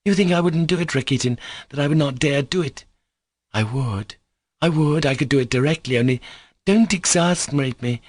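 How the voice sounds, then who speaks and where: A man's voice: fairly high pitch, moderate pace, dry recording, clean studio sound, pitched above the performer's own voice, close to an everyday voice. A man reading a classic novel aloud, voicing a passionate, volatile young woman with fierce, hysterical outbursts. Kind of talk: audiobook character reading.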